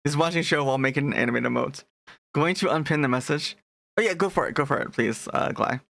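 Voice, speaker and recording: A man's voice: a little high, quick pace, gravelly, fairly bright tone, no room sound. A young man chatting casually on a live stream, rambling and restarting his sentences as he greets his chat.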